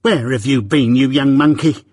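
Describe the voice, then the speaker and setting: A man voicing a shrill, scolding blacksmith's wife who talks in a sharp, loud, hectoring way. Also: animated, a little slow, husky, no room sound, clean studio sound.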